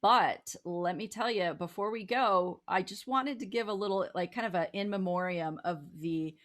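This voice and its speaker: A woman's voice: medium pitch, normal speed, a bit bright. An American woman co-hosting a talk show, chatting in a casual, playful, jokey way.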